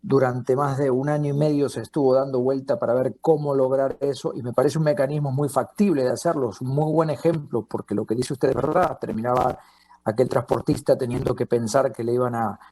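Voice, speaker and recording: A man who is not from Costa Rica, moderating a public forum, halting and repeating words as he talks. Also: mid-range voice, steady tone, mellow, no echo.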